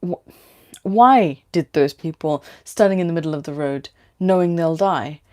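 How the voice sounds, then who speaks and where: A woman's voice: on the low side, unhurried, a little mellow, close to an everyday voice. A woman reading a story aloud as a frightened, irritable, demanding female passenger, with a London British accent. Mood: confused, irritable. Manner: pleading, demanding.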